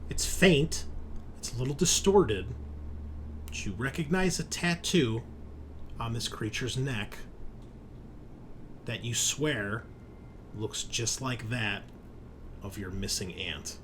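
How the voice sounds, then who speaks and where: A man hosting as game master, improvising narration and chat in a deliberate, suspenseful storyteller's way. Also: medium pitch, a bit bright, slight background noise.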